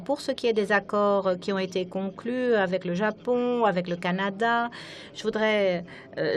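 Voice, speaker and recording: A woman delivers live interpretation of delegates at a parliamentary conference from an interpreting booth. She keeps pace with each speaker and often pauses with hesitant fillers. Her pitch sits in a mid range. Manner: frequent hesitant fillers while keeping up with speakers. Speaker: simultaneous interpreter. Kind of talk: simultaneous interpretation of parliamentary speeches.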